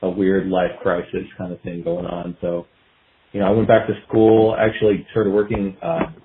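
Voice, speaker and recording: A man answering casually in a podcast interview, telling his story in a loose, halting, reflective way. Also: low-pitched, level tone, a little hiss or hum. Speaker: guest musician, guitarist. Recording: dull phone-like line, roomy echo.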